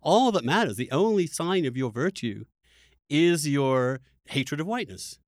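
A man's voice: a little high, very animated, measured pace, no room sound. Emphatic male podcast host, close dry sound. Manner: emphatic, rhetorical, repeats phrases for stress. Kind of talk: animated political discussion.

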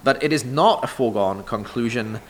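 A pastor with a sore throat, hoarse, reading scripture and preaching in a measured, deliberate sermon cadence. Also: male voice, mid-range voice, a little hiss or hum.

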